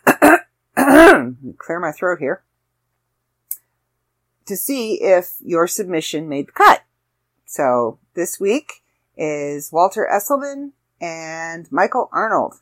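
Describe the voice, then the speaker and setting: A woman hosting a casual solo podcast, chatty and rambling with self-deprecating asides, her voice slightly raspy. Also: on the low side, a bit bright. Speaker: podcast host.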